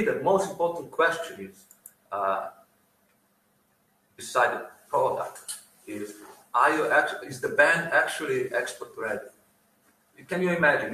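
Man, panel guest, halting delivery, distant echoey live recording. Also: mid-range voice, bright, forceful tone, a little hiss or hum. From Slovenia. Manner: halting, searching for words. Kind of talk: panel discussion. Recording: roomy and distant live feed with faint ambience.

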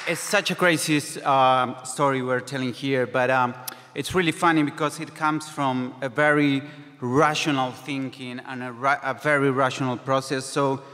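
A man's voice: medium pitch, steady tone, moderate pace, sharp tone. A man in his thirties, a non-native English speaker from South America, giving a conference talk as a creative director, hesitant and full of ums. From Brazil or Argentina. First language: Spanish or Portuguese. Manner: hesitant, many ums and restarts. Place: stage in a large hall. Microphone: stand mic. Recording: reverberant hall.

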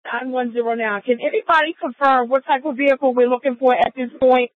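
A woman's voice: high-pitched, steady tone, brisk, dry recording. A woman working as a police dispatcher, relaying information over police radio. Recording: tinny, narrowband police radio scanner feed.

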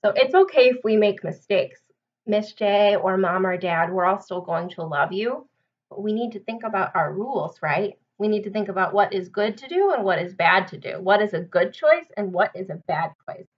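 A woman in her twenties reading aloud to young children like a teacher, asking them simple questions in an animated way. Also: mid-range voice, moderate pace. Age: 20s. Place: small home room, indoors. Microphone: webcam or laptop mic at arm's length. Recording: dull webcam sound, distant mic.

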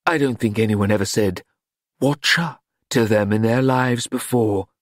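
A man's voice: on the low side, measured pace, no echo, clean studio sound, natural voice. Audiobook casting: worldly, indulgent madam, male narrator performing, grand, languid and persuasive. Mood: reassuring.

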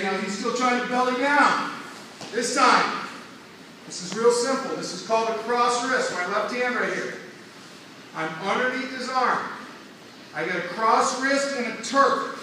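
A man's voice: sits high, noisy background. A middle-aged man, a wrestling coach, explaining moves to students while he demonstrates them on the mat, often checking in with "okay?". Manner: instructive, repeats "okay?" to check in; talks while grappling on the mat. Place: indoor wrestling room with mats. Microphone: far camera. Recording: echoey room, distant from mic.

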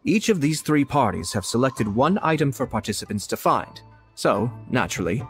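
An actor playing a refined, composed young nobleman in a video game, formal and measured with a politely sly manner. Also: medium pitch, sing-song, soft background music. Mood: calm, explanatory. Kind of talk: video game cutscene acting.

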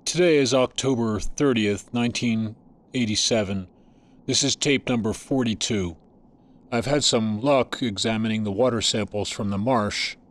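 An actor playing an evasive scientist-doctor in an audio drama, speaking in a measured, formal, clinical way. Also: male voice, on the low side, lightly acted. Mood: clinical, absorbed. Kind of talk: dramatic audio fiction acting.

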